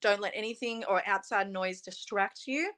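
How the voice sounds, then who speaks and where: A young woman from Australia speaks as a guest in a relaxed interview. She talks in a chatty, earnest way and keeps filling her sentences with "you know" and "um". Her pitch sits right in the middle for a woman. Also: moderate pace. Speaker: interview guest, pageant titleholder. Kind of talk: casual interview chat.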